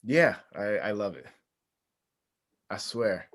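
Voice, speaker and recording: A man playing an agreeable, quietly unsure groom in a screenplay table read, halting and sincere. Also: on the low side, animated, slight background noise. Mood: hesitant.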